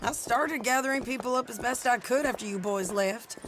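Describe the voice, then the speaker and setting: A woman's voice: a little high, a little fast, very noisy, close to an everyday voice. An actress playing a tough, vengeful Old West outlaw woman in a video game, with an American accent and blunt, folksy speech. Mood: guarded, friendly.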